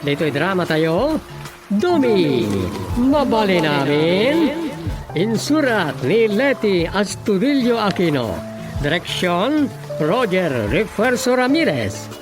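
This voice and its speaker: Male radio drama announcer, Ilocano accent. High, very animated pitch, emphatic theatrical delivery, music underneath. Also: takes it slowly. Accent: Ilocano Filipino.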